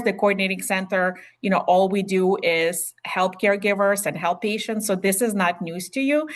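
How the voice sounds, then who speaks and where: A middle-aged woman from Ukraine with a Ukrainian accent, a nurse practitioner giving a webinar talk in a chatty way full of fillers and restarts, heard over a video call. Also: medium pitch. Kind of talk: webinar presentation.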